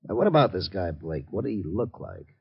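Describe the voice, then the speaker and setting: An actor playing a gruff, impatient police sergeant in a 1950s radio drama, brusque and demanding. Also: male voice, low voice, big pitch swings, brisk, heavily acted. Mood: gruff, businesslike. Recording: dull old radio recording.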